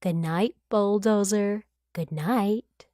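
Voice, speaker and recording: A woman reading a children's bedtime story aloud, expressively, with gentle, drawn-out phrasing and acted emphasis. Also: mid-range voice, no room sound, studio quality. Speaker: storybook reader.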